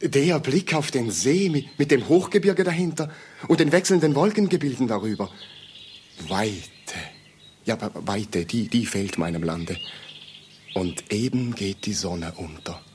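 A man acting in a German radio play as a meek, fawning Swiss admirer, with a husky voice and a hesitant, deferential, stammering manner. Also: medium pitch, expressive, measured pace, darkish tone, slight background noise, lightly acted. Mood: awed. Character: meek, fawning, persistent male Swiss admirer, prim and cautious.

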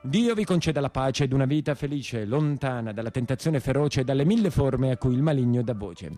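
A man's voice: mid-range voice, lively intonation, fairly quick, mellow, faint music behind, close to an everyday voice. A man with a southern Italian accent playing a pompous, greedy village priest who declaims solemnly in a theatrical radio play. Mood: solemn, preachy.

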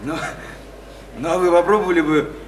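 An actor playing a shy, awkward, curious young man in a radio play, halting and repeating his words. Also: fairly high pitch, fairly even intonation, close to an everyday voice. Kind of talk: theatrical radio play dialogue. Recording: echoey, noisy stage radio play recording.

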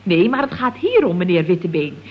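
A woman's voice: lowish voice, very animated, a little fast, husky. A woman playing a chatty, scatterbrained office worker in 1970s radio comedy, rambling along with giggly, naive earnestness. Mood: chatty, puzzled. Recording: dull, lo-fi old radio recording.

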